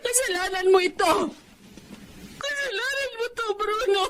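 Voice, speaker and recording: A woman's voice: very high voice, normal speed, over a noisy crowd. An actress playing an anxious, protective mother, melodramatic and emotional, in a Filipino radio drama. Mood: grieving, accusing. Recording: noisy background ambience.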